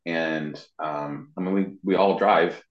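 A man's voice: deep voice, steady tone, slow. An American man in his fifties chatting casually as an interview guest, rambling a little with self-deprecating humour. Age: 50s. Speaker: interview guest, web marketing professional. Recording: muffled lo-fi sound in echoey room.

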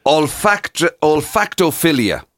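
A man's voice: fairly high pitch, expressive, slow pace, fairly bright tone, no echo, studio quality. An Irish man co-hosting a radio show in casual, chatty banter.

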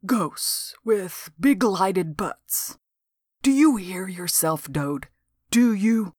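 A woman voicing a gruff, work-shy county lawman with a folksy, exasperated drawl. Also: sits high, expressive, drawn-out words, darkish tone, dry recording, studio quality, exaggerated voice. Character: gruff, lazy small-town county lawman.

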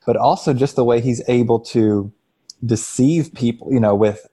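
A man's voice: medium pitch, moderate pace, warm tone, breathy edge. A man on a discussion panel, talking casually and piecing his thoughts together with some hesitation. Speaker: podcast panelist. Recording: slightly dull lo-fi microphone.

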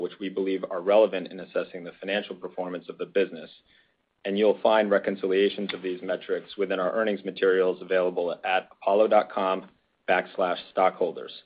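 A man with a very low, slightly rough voice reads a formal scripted statement. His delivery is matter-of-fact and level, with little melody. The sound is dull, like a conference call line. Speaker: investor relations officer opening an earnings call. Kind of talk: scripted disclaimer reading. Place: corporate earnings conference call. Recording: dull, band-limited conference call audio.

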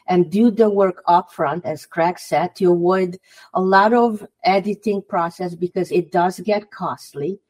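A woman's voice: fairly low pitch, darkish tone, rough recording. A woman from Hungary with a Hungarian accent, speaking English, which is not her first language, as a guest author on a podcast interview and giving earnest advice.

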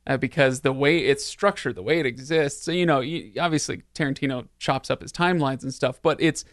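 A man's voice: mid-range voice, brisk, a bit bright, dry recording, clean studio sound. A man hosting a casual discussion of films and games, explaining his reasoning step by step. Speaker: podcast host. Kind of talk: casual film and game discussion.

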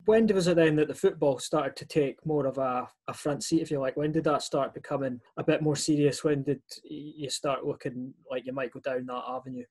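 A man's voice: on the high side, fairly even intonation, a little fast, hardly any pauses, a little mellow. A man hosting a podcast, asking friendly, long winding questions. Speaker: podcast host. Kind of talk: interview questions.

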